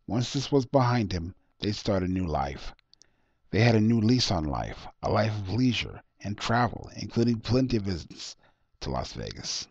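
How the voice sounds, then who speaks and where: A man's voice: fairly low pitch, no echo, highs cut off. A man reading his own short story as author, with dramatic, scripted storytelling delivery.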